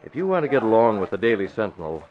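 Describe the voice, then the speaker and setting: Casting: brusque, low-voiced newspaper boss, old radio drama, muffled recording with crowd behind. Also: male voice, sing-song, racing. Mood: stern, dismissive. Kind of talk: 1940s radio drama acting. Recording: dull vintage radio recording, background crowd.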